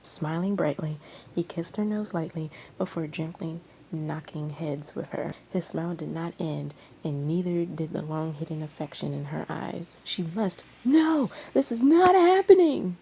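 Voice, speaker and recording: A woman narrates a story expressively in a lowish, mellow voice. There is some creak in her tone, and a faint noise sits behind her amateur recording. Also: steady tone, moderate pace, rough recording. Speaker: fan fiction reader.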